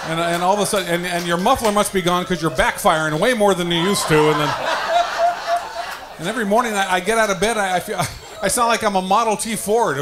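Excitable high-pitched older male stand-up comic. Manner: excitable, stammering repetition. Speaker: stand-up comedian. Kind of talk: stand-up comedy. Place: small dark comedy stage indoors. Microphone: handheld stage microphone. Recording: noisy live club stage recording.

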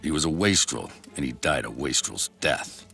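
Very deep, gravelly bitter old patriarch. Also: male voice, lively intonation, moderate pace, pushed and bright, faint music behind, full character voice. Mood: cold, contemptuous. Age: older. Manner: contemptuous, domineering. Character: heartless bitter old patriarch, menacing and contemptuous. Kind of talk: video game cutscene acting.

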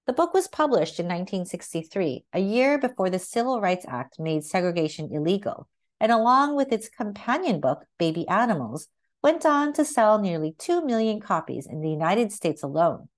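A woman reading a children's book aloud as narrator, in a measured and expressive way. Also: medium pitch. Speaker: read-aloud host, narrator.

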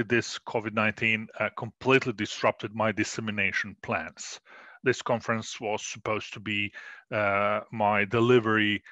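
A middle-aged man presenting his research in a recorded conference talk, measured and full of fillers and restarts. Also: on the low side, bright, forceful tone, dry recording, highs cut off. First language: Croatian. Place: small room indoors.

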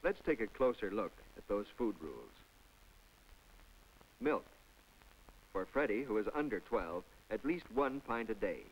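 A man's voice: lowish voice, animated, unhurried, long pauses, poor audio. A man narrating a public information film in a scripted, chatty voice-over with folksy asides to the viewer. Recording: old film soundtrack with faint music.

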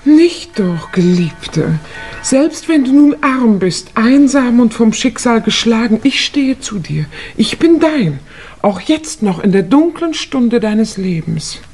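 An affected society fiancée in a vintage fifties radio drama. She speaks high and with sweeping intonation, gushing theatrically, with music around her. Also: expressive, slow, a little mellow, slightly rough, heavily acted. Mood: solemn devotion. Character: gushing, melodramatic, self-interested society fiancée. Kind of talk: 1950s German radio play acting.

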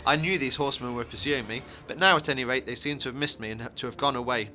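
A suspicious male hobbit from a fantasy storybook, put on by a male reader. He speaks in a guarded, indignant and well-spoken way. The voice is medium in pitch, with a sharp, forceful brightness. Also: quick pace, slight background noise. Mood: indignant, defensive.

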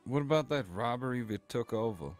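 A man's voice: mid-range voice, animated, fairly quick, over music. A man streaming a roleplay game, chatting in character in a boastful, joking way and swearing often.

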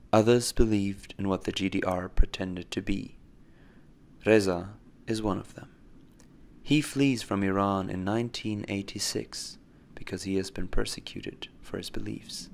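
Low, gravelly man narrating a story. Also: darkish tone. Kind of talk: scripted documentary story narration.

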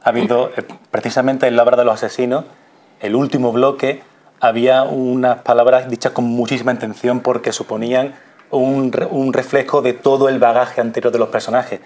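A man's voice: mid-range voice, flat delivery, brisk, a little mellow. A man in his thirties with an accent from Spain, an actor explaining things thoughtfully and emphatically as a studio interview guest. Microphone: lapel mic.

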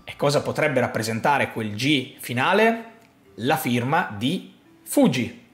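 A man's voice: mid-range voice, animated, measured pace, fairly bright tone. A man, explaining a theory to camera in an emphatic, suspenseful way, repeating phrases for effect. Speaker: YouTube host. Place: small home room, indoors. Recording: roomy echo. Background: background music.

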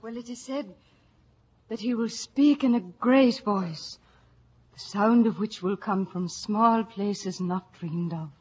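An actress playing an earnest, wistful young daughter in a vintage radio drama. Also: female voice, medium pitch, takes it slowly, darkish tone, slightly rough. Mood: solemn. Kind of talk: 1940s-50s radio acting. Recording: dull old radio recording.

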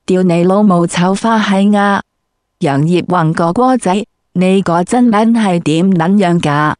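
A woman's voice: lowish voice, a little slow, a little mellow, no room sound, clean studio sound. A woman doing a crude, scripted comic interview in a brash, foul-mouthed way.

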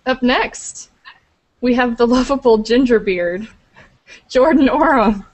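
A woman, co-hosting a webcam panel and introducing guests in a brisk, upbeat way. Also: a little high, poor audio. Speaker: co-host. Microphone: webcam video call.

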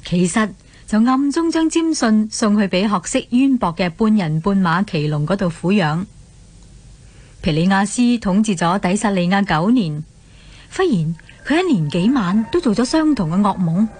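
A woman with a Hong Kong Cantonese accent narrating a scripted radio drama as an expressive storyteller. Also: mid-range voice, normal speed, husky, dry recording.

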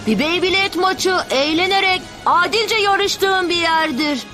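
A woman's voice: extremely high pitch, slow pace. A woman voicing a sincere, encouraging little boy in a dubbed anime cartoon, speaking earnestly. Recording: music behind the voice.

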